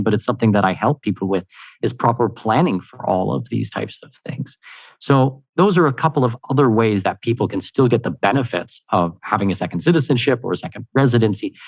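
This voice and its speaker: A man from Canada, a podcast guest answering questions in an upbeat, energetic way, with plenty of "you know" fillers. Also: fairly low pitch, no echo. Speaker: guest, expat consultant. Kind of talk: conversational interview answers.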